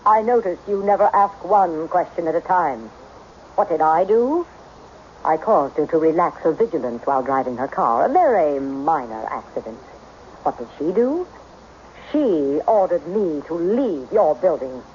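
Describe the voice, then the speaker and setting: A woman playing a mysterious, imperturbable self-styled sister to all mankind in a radio mystery, speaking formally and deliberately with quiet menace. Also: sits low, very animated. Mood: calmly sinister. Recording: dull, noisy old radio recording.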